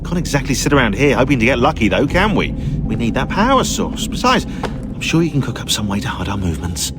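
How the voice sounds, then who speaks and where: An actor playing a brisk, dryly sarcastic modern-day team leader in a video game. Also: male voice, mid-range voice, very animated, heavy background noise, natural voice. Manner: dry, sarcastic. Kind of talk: video game cutscene acting.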